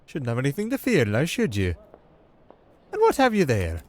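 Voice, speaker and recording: A man's voice: medium pitch, wildly swooping pitch, normal speed, a little mellow. A smug, wildly swooping scheming nobleman. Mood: mocking menace. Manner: mock-courteous, condescending, veiled threats. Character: menacing, smug older noble father and schemer. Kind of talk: fantasy political audio drama acting.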